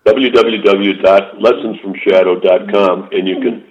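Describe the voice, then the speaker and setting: A man's voice: deep voice, steady tone, a little slow. An older man and author, telling rambling anecdotes with restarts, calling in to a casual interview. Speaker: author guest. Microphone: phone call. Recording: phone line, lo-fi.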